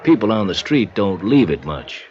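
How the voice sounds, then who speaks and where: Low-voiced guarded barman. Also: very animated, moderate pace, darkish tone. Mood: guarded, resigned. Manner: laconic, evasive, resigned. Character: guarded, world-weary male bar worker, noncommittal. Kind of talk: 1950s radio acting. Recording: dull old radio recording, faint music. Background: faint music.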